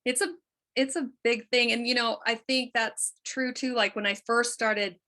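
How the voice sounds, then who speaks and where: Bright-voiced middle-aged woman, chatty guest. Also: on the high side, steady tone, normal speed. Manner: chatty and candid, trails off often. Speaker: guest, quilt artist. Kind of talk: casual podcast conversation.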